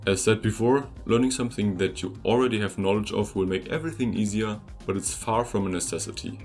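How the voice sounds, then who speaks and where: A man's voice: fairly low pitch, fairly quick, darkish tone. A young German man, a native German speaker, narrating a scripted video about learning languages in an upbeat, conversational way that speaks straight to the viewer. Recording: voice-over with faint music behind.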